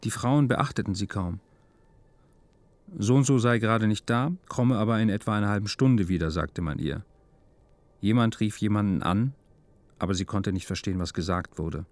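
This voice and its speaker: A man's voice: deep voice, no echo. A man narrating a literary radio drama from a script in a sober, matter-of-fact way. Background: music.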